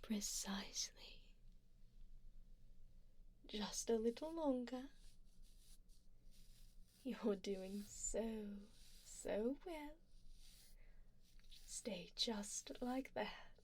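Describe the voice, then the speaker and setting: A woman playing an elegant, doting vampire duchess in an ASMR roleplay, speaking softly and breathily, often in whispers, with formal, coaxing deliberation and faint menace. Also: on the high side, lively intonation, extremely slow, pauses often, exaggerated voice. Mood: softly menacing, doting. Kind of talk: ASMR roleplay, soft personal-attention scene.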